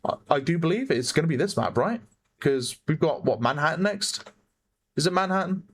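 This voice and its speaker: A man with a hoarse voice giving chatty play-by-play commentary on a competitive online shooter match, often correcting himself. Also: fairly high pitch, lively intonation, moderate pace. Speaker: esports caster. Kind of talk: live game commentary.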